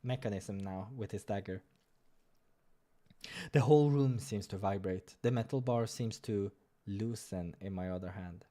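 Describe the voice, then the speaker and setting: A man's voice: on the low side, unhurried, dark tone. Man narrating a visual novel playthrough. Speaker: let's player. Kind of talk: dramatic read-aloud narration with casual asides. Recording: music behind the voice.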